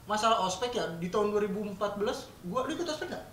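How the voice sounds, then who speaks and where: A man's voice: sits high, expressive, quick pace, fairly bright tone. A man in his late twenties from Sunda, co-hosting a podcast. He tells stories in a joking, casual way and swears freely. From Sunda, Indonesia. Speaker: podcast co-host. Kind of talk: casual chat, storytelling. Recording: roomy echo, far from the mic, faint background noise.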